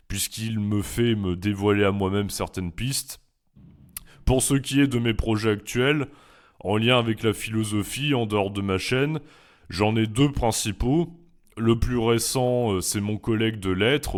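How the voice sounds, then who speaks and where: Measured, low-voiced French man. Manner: measured, reflective, with hesitant fillers. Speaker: philosophy YouTuber and teacher. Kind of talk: reading prepared FAQ answers aloud.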